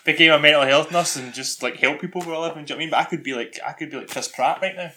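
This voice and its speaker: Fast, bright-voiced Scottish man. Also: fairly high pitch, slight background noise. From Scotland. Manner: rambling, sweary and jokey. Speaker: podcast co-host. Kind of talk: casual chat.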